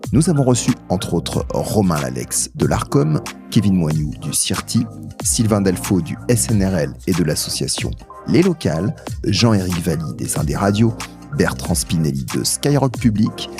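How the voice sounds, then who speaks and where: A man hosting a podcast, reading a scripted introduction and news update. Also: deep voice. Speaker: podcast host presenting news.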